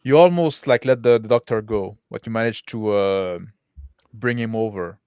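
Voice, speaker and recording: A man narrating a tabletop roleplaying game as game master, improvising haltingly. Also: fairly low pitch, darkish tone, clear, resonant tone.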